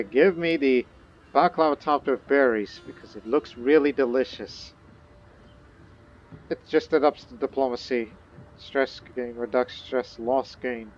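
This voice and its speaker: A man's voice: mid-range voice, dull audio. A man thinking aloud and reading game text in casual, rambling gameplay commentary. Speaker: gaming video host.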